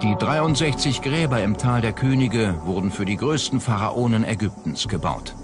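A man's voice: on the low side, animated, moderate pace. A man reading scripted documentary narration. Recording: loud music under the voice. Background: prominent background music.

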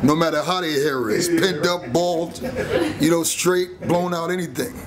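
A man's voice: fairly high pitch, unhurried, loud music behind the voice. A middle-aged man, a barbershop owner talking casually in a busy shop, chuckling as he goes. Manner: laid-back street talk, chuckling. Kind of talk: casual interview. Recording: loud crowd noise in shop. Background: crowd chatter.

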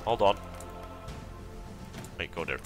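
A man's voice: deep voice, animated, slow pace, over music. A young man with a faint Italian accent giving rambling, casual commentary while playing a strategy game, laughing often and talking to the enemy. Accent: Italian, softened by years in Sweden. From Italy. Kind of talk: casual let's-play commentary.

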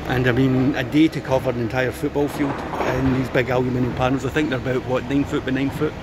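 Male vlogger, mid-pitched, casual and explanatory, filming handheld outdoors with loud machinery noise behind. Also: little pitch movement, fast. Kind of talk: casual running commentary. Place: outdoors in stands of large open stadium. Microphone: handheld phone or camera.

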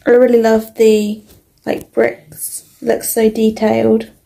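A young woman with a British accent giving a casual, informal toy review as an unhurried walkthrough. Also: fairly high pitch, completely flat delivery, gravelly, mellow.

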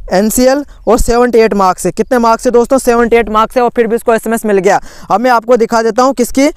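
A man's voice: extremely high pitch, clear, resonant tone, no echo, clean studio sound. A man, urgently walking viewers through admission lists over a screen recording, indignant and full of restarts. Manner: urgent, indignant, many restarts and "theek hai" fillers. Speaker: education channel host.

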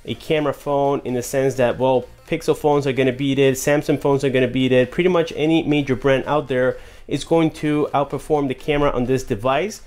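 A man's voice: mid-range voice, steady tone, fairly quick, a little mellow, faint music behind. A man giving a casual, chatty phone review to his viewers at a desk indoors. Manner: chatty, addresses viewers as guys. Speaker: tech reviewer. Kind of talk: casual product demo.

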